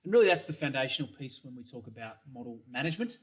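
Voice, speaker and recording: A man's voice: medium pitch, big pitch swings, very fast. A middle-aged man with an Australian accent giving a recorded product presentation from a small room, full of ums and repeated words. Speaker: software company principal presenting. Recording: slightly dull webcam audio.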